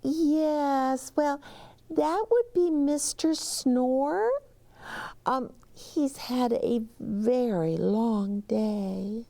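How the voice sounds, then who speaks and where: A woman's voice: high voice, very slow, gravelly, dark tone, dry recording, pitched above the performer's own voice, heavily acted. A woman voicing a nervous, apologetic hotel bellhop in a children's storybook, hesitant and stammering. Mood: worried. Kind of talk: children's storybook read-aloud.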